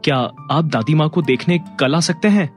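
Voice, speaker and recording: A man's voice: fairly high pitch, normal speed, soft background music, close to an everyday voice. An actor playing a worried, reserved father in an audio drama, speaking in a serious, formal and courteous way. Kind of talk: Hindi audio drama acting.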